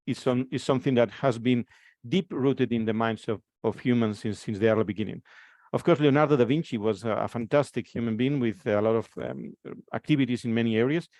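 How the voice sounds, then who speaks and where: A man's voice: mid-range voice, a little mellow, dry recording. A man from Spain in his forties with a Spanish accent, giving a conference presentation and often repeating words as he restarts his phrases.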